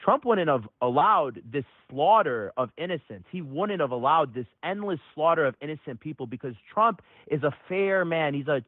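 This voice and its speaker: A caller from Metro Detroit arguing heatedly, his voice jumping high when he gets worked up. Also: dry recording. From Metro Detroit, USA. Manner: excitable, voice jumps high when agitated. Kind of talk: heated call-in debate. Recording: tinny phone line.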